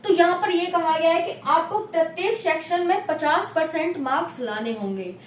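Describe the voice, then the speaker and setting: High-voiced Hindi coaching teacher, echoey room. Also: female voice, normal speed, a little hiss or hum. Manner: repeats checks like "clear?" and addresses viewers as companions. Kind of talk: explaining exam rules at a whiteboard. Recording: echoey room, recorded from a distance.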